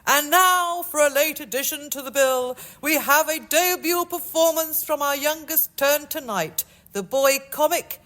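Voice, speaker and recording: A boisterous music hall chairman, put on by a woman reading aloud, calling out the next act with a grand, announcing flourish. His voice rises high and carries loud across the hall. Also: a little slow, few pauses. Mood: showy.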